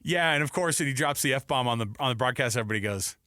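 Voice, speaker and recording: A man's voice: medium pitch, animated, fast talker, pushed and bright, dry recording. Chatty male sports radio host, dry studio sound. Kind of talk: casual sports talk radio.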